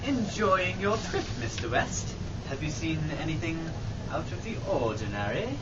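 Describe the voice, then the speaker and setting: Casting: man acting genteel radio-play airship captain, high and animated, unflappable. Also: normal speed. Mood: polite. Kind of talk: old-time radio drama parody acting. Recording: distant, muffled, noisy live amateur recording.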